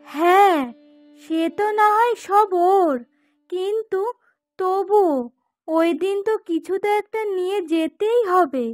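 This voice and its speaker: Woman voicing very high, bossy cartoon wife. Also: slow, long pauses, no room sound, clean studio sound, soft background music. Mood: persuasive. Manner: pointed, questioning, directive. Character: curt daughter-in-law, or bossy persuasive wife. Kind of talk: cartoon dubbing.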